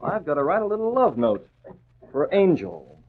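An actor playing a cool, commanding masked vigilante in a 1940s radio drama, giving clipped, taunting orders. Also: male voice, on the high side, very animated, normal speed. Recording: muffled tinny vintage radio recording.

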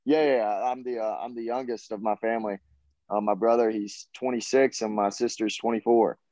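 A young man in his twenties with a Southern US accent, answering interview questions in an easygoing, halting way with many fillers. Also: on the low side, fairly even intonation, warm tone, dry recording. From Tennessee, USA. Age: 20s. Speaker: college baseball player guest.

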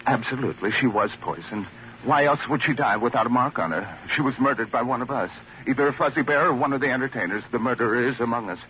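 An actor playing a flustered, self-important club official in an old radio drama, hesitating and repeating himself pompously. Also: male voice, mid-range voice, fast talker, close to an everyday voice. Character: fussy, self-important, dithering lodge club entertainment chairman. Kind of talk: 1940s-50s radio comic character acting. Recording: dull old radio recording, faint hiss.

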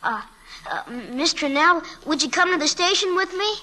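A high-pitched schoolboy, bright and sharp in tone. He talks in blunt, folksy bursts with sulky, wounded earnestness, as in a 1940s radio drama. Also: expressive, normal speed, exaggerated voice. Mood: shy, pleading. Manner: blunt, boyish, folksy slangy talk.

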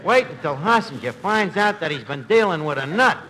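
A man's voice: fairly high pitch, sing-song, moderate pace, sharp tone. An actor playing a gullible, blustering New tavern manager in 1940s radio comedy, wisecracking and hesitant while mangling his grammar. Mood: exasperated, blustering. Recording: noisy old radio broadcast recording.

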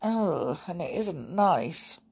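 A woman voicing a cheeky, excitable young boy in a storybook, with an earnest, protesting manner. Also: on the low side, slow, creaky, slightly rough, full character voice. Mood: mock-serious.

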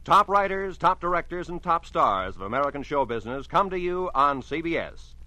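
A male announcer in classic 1940s radio style. His voice is fairly high and bright, with a forceful edge. He reads scripted promotional copy with polish. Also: sing-song, fairly quick, dry recording.

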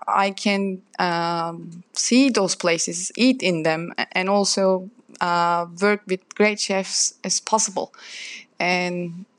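A young woman with a Turkish accent talks slowly and haltingly, English not her first language, filling pauses with little laughs. The sound is dry and close, with no room in it. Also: on the low side, dry recording. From Turkey. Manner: hesitant, many fillers and laughs. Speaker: chef, interview guest. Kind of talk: casual interview talk.

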